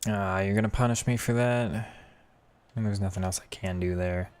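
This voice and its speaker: Young man, very low voice, hoarse, casual gaming commentary, thinking aloud. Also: fairly even intonation, moderate pace, darkish tone. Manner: thinking aloud, reacting to play. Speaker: gaming streamer.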